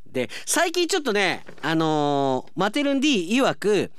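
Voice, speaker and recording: A man's voice: sits high, huge pitch swings, unhurried, fairly bright tone, clean tone, no echo. A man hosting a radio segment, chatting and reading out listener mail as an announcer.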